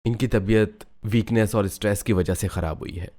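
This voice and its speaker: Male doctor, acted by a man, calm, matter-of-fact, lowered pitch, cartoon drama. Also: moderate pace. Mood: serious. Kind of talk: Hindi family moral-story cartoon acting.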